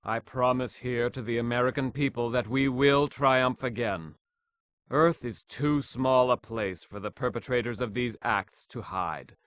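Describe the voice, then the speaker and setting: A man's voice: medium pitch, a bit bright, dry recording, lightly acted. Man acting as solemn American president, dry sound. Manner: solemn, measured, declamatory. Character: resolute male American president addressing the nation. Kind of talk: formal televised political address.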